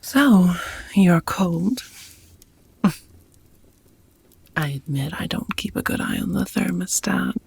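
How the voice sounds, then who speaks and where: A gentle, courteous vampire roommate, acted by a woman, murmurs in a very breathy half-whisper for an intimate ASMR roleplay. She takes her time, tender and a little formal, with a creaky edge to the voice. The sound is close and dry. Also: lowish voice, expressive, no room sound, exaggerated voice. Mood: warm, reassuring. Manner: unhurried, softly formal, tender.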